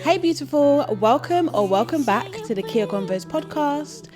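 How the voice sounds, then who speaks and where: A woman hosting a self-care podcast, warmly welcoming and complimenting her guest and asking questions. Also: sits high, lively intonation, moderate pace, over music. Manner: warm, complimentary, encouraging. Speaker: podcast host. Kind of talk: friendly interview hosting.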